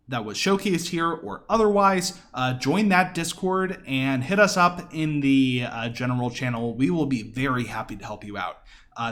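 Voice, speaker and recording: A man's voice: medium pitch, a bit bright. A man giving casual, explanatory live commentary on a video game as its speedrunner. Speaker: speedrunner commentating own run.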